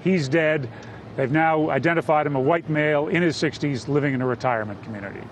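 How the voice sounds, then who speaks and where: A male reporter with a fairly high voice delivers live breaking news. His phrasing is measured and hesitant, with faint street noise behind him.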